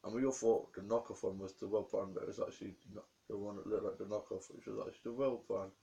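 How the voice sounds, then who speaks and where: A young man with a croaky voice from a cold, rambling through a diary vlog about his day. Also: fairly low pitch, fairly even intonation, quick pace, dark tone. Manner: rambling, run-on storytelling. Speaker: vlogger.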